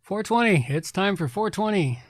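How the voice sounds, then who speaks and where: A man's voice: high voice, expressive, moderate pace, no room sound. Laid-back man chatting on a dry mic. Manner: laid-back, mumbles to himself while setting up. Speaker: podcast co-host. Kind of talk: casual chat.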